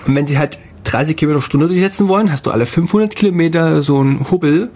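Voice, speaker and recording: A man co-hosting a casual podcast, telling travel stories in a halting way with many fillers. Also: a little high, darkish tone, breathy edge. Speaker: podcast co-host. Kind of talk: casual chat.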